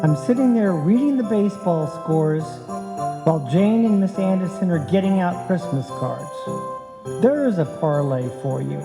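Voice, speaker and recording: An actor playing a wry, deadpan husband who narrates his own domestic comedy in old-time radio style. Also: high-pitched, moderate pace, over music. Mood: wry narration. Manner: dry, wisecracking. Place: on stage in a live performance. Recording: live hall recording, long reverb.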